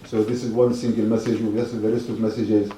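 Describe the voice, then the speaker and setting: A man hosting a meeting, reading messages aloud in a halting, measured way. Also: on the low side, level tone, mellow, a little hiss or hum. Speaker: event host. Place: meeting hall. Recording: echoey hall from far off.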